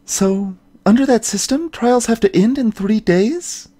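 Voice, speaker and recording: A woman voicing a perky, curious, excitable young female legal assistant in a video game. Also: fairly high pitch, a little slow. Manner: excitable, wide-eyed questioning. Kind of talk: fan voiced visual novel game dub.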